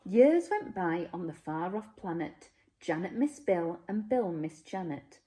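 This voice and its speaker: A woman narrates a rhyming storybook for young children. Her voice is fairly low and soft-edged, and she takes her time, phrasing each line dramatically with a highly varied melody. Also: expressive, unhurried. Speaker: teacher reading a children's story.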